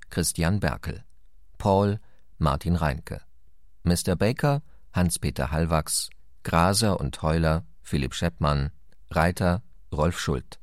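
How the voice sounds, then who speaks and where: A man's voice: sits low, a little mellow, no echo, studio quality. A man reading formal scripted radio play credits as an announcer.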